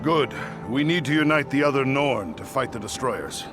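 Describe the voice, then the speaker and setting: A man's voice: medium pitch, animated, moderate pace, over music, close to an everyday voice. An actor playing a pragmatic, impatient male dwarf in a video game, with a blunt, prodding way of talking. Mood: pressing. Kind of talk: dramatic video game cutscene acting.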